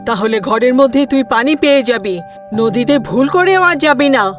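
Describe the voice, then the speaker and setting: A woman's voice: sits high, expressive, normal speed, faint music behind. A woman voicing a bossy middle-aged mother-in-law in a cartoon, scolding and commanding.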